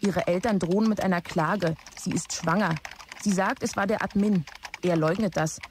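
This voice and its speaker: An actress playing a dutiful office assistant in a German audio drama, giving plain, report-like messages. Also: female voice, fairly low pitch, moderate pace, slight background noise, close to an everyday voice. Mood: matter-of-fact. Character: dutiful, matter-of-fact female office assistant.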